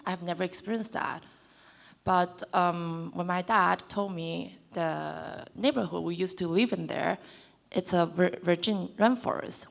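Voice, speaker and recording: A woman's voice: on the low side, gravelly. A woman in her twenties from Taiwan, speaking on a conference panel and persuading the audience with direct questions. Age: 20s. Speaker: panelist, co-founder. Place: conference hall.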